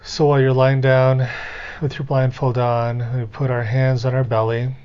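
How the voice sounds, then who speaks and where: Male guided-meditation instructor, mid-pitched voice, gentle and unhurried delivery, somewhat muffled lo-fi sound. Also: little pitch movement, clean tone. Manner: gentle, unhurried step-by-step coaching with pauses.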